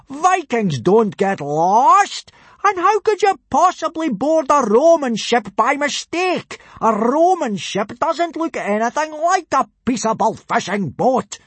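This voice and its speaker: A furious Viking drill master for a storybook. He roars high and strained, stretching his words slowly with booming scorn and outrage. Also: very animated, no room sound, full character voice. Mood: furious, outraged. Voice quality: booming, roaring. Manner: scornful, drawn-out outraged shouting. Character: huge furious bellowing Viking pirate instructor, adult man. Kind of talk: audiobook character voice.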